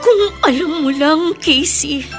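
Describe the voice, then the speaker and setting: A woman's voice: high voice, slow, music in the background. A woman playing a guilt-ridden, self-sacrificing mother in a Tagalog radio drama, pleading and earnest in a melodramatic way. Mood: hurt, pleading.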